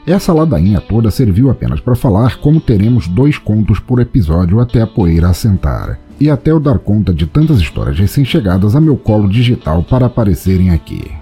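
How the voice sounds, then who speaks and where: A man's voice: fairly low pitch, big pitch swings, fairly quick, hardly any pauses, mellow, faint music behind. A Brazilian man hosting and narrating a podcast from a script, with a wry, theatrical manner.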